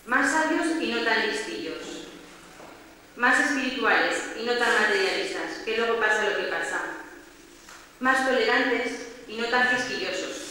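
A woman's voice: fairly high pitch, bright, forceful tone. Middle-aged woman w/ reading a prayer at mass, long church reverb, faint hiss. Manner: earnest, measured reading. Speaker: lay reader. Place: large church, indoors. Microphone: lectern mic, far camera. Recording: echoey church from far off.

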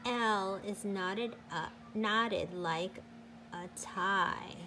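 A woman teacher reading a picture book aloud to children in a deliberate, sing-song, emphatic way. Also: mid-range voice, bright, forceful tone, slight background noise.

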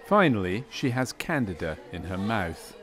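A man reading scripted documentary narration about a medical case in a measured, clinical manner. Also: on the low side, sing-song, a bit bright, a faint crowd.

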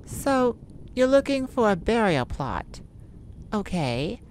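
A woman voicing a feisty, sardonic male vampire with a softer side, in a theatrical ASMR roleplay addressed to the listener. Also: mid-range voice, slow pace, slight background noise, low-quality recording. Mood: firm, impatient. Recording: steady rain ambience behind the voice. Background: rain falling.

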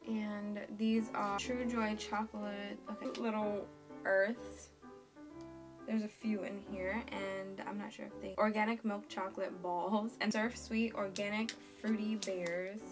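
A young woman in her twenties with a croaky, sore-throated voice, chatting casually to camera as she unboxes a subscription box in her bedroom. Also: medium pitch, flat delivery, unhurried, over music. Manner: chatty, reads product labels aloud. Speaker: beauty and lifestyle vlogger. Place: small bedroom in front of a red wall.

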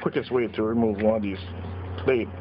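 A man slowly walking through a hands-on circuit board repair at his workbench, explaining each step plainly. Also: lowish voice, steady tone. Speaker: radio repair technician. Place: workbench, indoors. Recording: dull lo-fi camera audio with noise.

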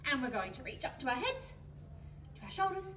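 A woman's voice: sits high, sing-song, a little fast, sharp tone, slight background noise. A woman presenting a children's singalong in an encouraging, sing-song way, recorded from a distant camera. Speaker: children's library storytime presenter. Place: indoor children's library corner. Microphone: far camera. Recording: roomy, distant camera, ukulele accompaniment. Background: ukulele music.

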